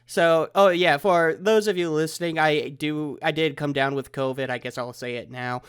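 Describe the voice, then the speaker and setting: A congested man with a fairly high voice hosts a relaxed podcast chat, recorded remotely over the internet. He talks eagerly and often trails off mid-thought. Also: normal speed, clean tone. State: congested from a head cold. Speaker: podcast host.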